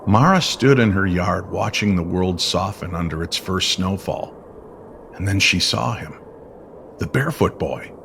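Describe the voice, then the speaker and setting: Lowish-voiced man telling a suspenseful tale. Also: a bit bright, a little hiss or hum. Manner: dramatic, deliberate suspenseful phrasing. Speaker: co-narrator of a serial story. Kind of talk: scripted eerie story narration, old-time radio style.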